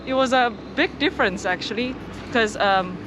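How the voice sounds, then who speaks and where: A woman's voice: fairly high pitch, moderate pace, background noise. Woman from Indonesia, hesitant talk. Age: young adult. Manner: hesitant, with many fillers and restarts. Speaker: interview guest. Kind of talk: casual conversational interview.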